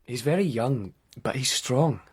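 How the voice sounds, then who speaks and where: A man's voice: medium pitch, lively intonation, a little slow, lightly acted. An actor playing an eager, ingratiating young man at a king's court in a radio drama, with a deferential manner.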